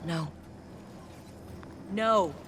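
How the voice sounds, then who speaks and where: An actress playing a determined, conscience-stricken scientist in a video game, speaking in an earnest, naturalistic way. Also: female voice, on the low side, drawn-out words, noisy background. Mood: angry, defiant. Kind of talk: naturalistic game dialogue acting.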